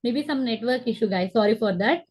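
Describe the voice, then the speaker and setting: A young woman with a fairly high voice teaches a biology lesson from a room at home. She speaks into a laptop webcam on a video call. She goes through each step slowly, repeats the key words, and keeps checking that her students understand. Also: a little mellow, slightly rough. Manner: explains step by step, repeats key terms, asks students to confirm. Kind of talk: online class lecture.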